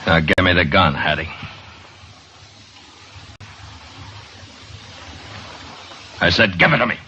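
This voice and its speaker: Very deep-voiced stern frontier marshal. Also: male voice, expressive, fast. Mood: firm, commanding. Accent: American. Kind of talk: old-time radio western acting. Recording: muffled, hissy old radio recording.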